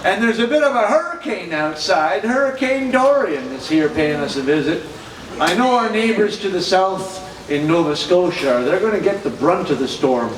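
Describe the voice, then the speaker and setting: A man's voice: high-pitched, expressive, normal speed, echoey room, recorded from a distance, background noise. A man who hosts a music show, singing country songs and introducing them between numbers.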